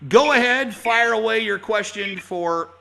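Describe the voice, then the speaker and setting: A man's voice: high voice, a little slow, gravelly, sharp tone. A man hosting his own radio show, chatting with a guest in a folksy way, full of fillers and restarts. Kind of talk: casual talk-radio interview.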